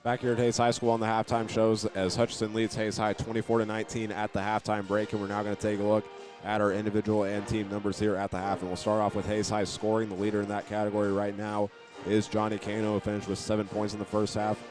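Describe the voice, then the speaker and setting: Low voiced guy doing sports radio recap, pretty flat tone, bit halting, faint music behind. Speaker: sports play-by-play announcer. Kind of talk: radio halftime recap commentary.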